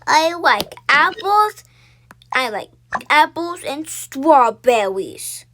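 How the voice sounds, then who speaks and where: Woman putting on a cute, slow little child. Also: high voice, sing-song, bright, forceful tone, exaggerated voice. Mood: cute, cheerful. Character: cute little child chanting about favorite fruit. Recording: noisy home recording with clicks.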